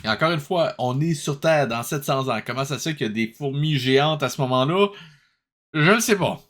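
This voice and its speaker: A man's voice: fairly high pitch, lively intonation, fairly quick, a bit bright, faint music behind. Sarcastic Quebec man giving casual commentary. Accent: Quebec French. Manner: sarcastic, analytical, jokey. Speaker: host of a comedic review show.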